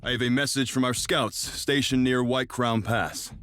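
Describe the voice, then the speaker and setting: A man's voice: medium pitch, steady tone, moderate pace, fairly bright tone, clear, resonant tone, dry recording, studio quality, close to an everyday voice. A man playing a dutiful army messenger in a video game cutscene, reporting formally in military fashion. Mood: urgent.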